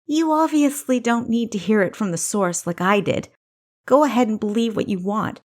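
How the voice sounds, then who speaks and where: A woman's voice: on the high side, animated, moderate pace, a little mellow, voice pushed up, natural voice. A woman reading a storybook voices a furious, sarcastic younger sister who snarls her words. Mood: bitter.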